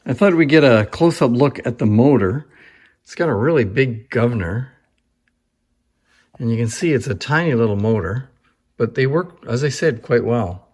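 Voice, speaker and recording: The room echoes clearly around a man's medium-pitched voice. He explains things informally, like a presenter giving a hands-on demonstration. His delivery is conversational and appreciative. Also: animated, normal speed, a little mellow. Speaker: presenter showing antique phonographs.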